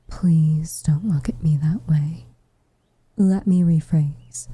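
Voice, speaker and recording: A woman playing a reserved, tenderly affectionate witch in a whispered ASMR roleplay, with soft breathy delivery and measured, formal phrasing. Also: deep voice, fairly even intonation, no room sound, exaggerated voice. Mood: gentle, tentative.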